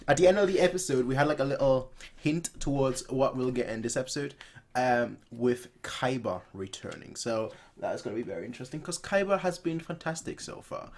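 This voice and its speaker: A man's voice: medium pitch, lively intonation, moderate pace. A man in his twenties giving casual, chatty reaction commentary, often rambling and repeating his phrases. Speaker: reaction video host. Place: small bedroom, indoors.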